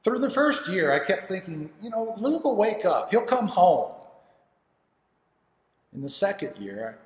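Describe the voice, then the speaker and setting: High-voiced, emotional father in an echoey hall, acted by a man. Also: big pitch swings. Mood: wistful. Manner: halting, emotional, earnest storytelling with dramatic pauses. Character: grieving, devoted father telling of his lost son. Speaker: actor performing an audition monologue. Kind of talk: dramatic first-person monologue. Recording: echoey hall with long reverb.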